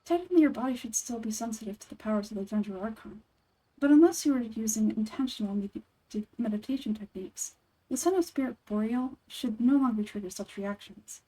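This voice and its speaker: Earnest, mellow scholarly woman voiced by a woman. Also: fairly high pitch, expressive, poor audio. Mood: explaining, serious. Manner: formal, articulate, measured. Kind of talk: video game dialogue read-through.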